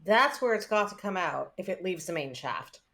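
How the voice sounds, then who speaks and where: A woman's voice: on the low side, lively intonation, moderate pace, pushed and bright. A woman reading a blunt, practical male spaceship crew member in a sci-fi table read, matter-of-fact. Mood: grim.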